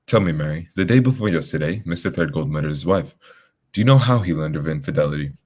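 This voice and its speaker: A man playing a commanding, self-assured detective in an old-time radio drama, speaking formally and deliberately. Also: very deep voice, lively intonation, darkish tone.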